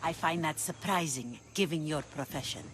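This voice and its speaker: A woman's voice: deep voice, normal speed, fairly bright tone, faint music behind. An actress playing a shrewd, confident woman pirate leader who speaks in a commanding, dry, bargaining way in a game cutscene. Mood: cool. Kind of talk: video game cutscene acting.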